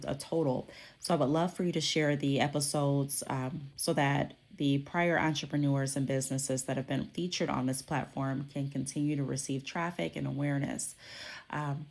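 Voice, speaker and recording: Low-voiced congested woman podcast host. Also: darkish tone. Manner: conversational, frequent "um" and "okay?" check-ins. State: congested from a cold. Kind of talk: solo podcast explainer.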